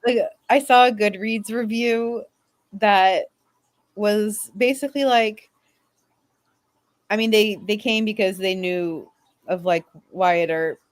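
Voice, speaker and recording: A woman's voice: medium pitch, takes it slowly, pauses often, dry recording. A woman chatting informally as a fan panelist. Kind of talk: casual chat.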